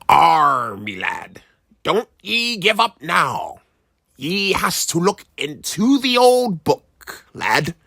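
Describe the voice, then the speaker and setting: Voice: medium pitch, sing-song, slow, vocal fry, pushed and bright, no room sound, clean studio sound, pitched above the performer's own voice, full character voice. A storyteller voicing an old pirate ancestor who cheers the family on with hearty pirate talk. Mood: encouraging. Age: older. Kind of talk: children's storybook read-aloud.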